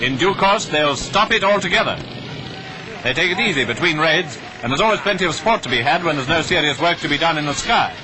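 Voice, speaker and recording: A man's voice: on the high side, expressive, a little fast, background noise. A man with a British RP accent delivering clipped, declamatory wartime newsreel commentary on an old 1940s soundtrack. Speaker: newsreel narrator.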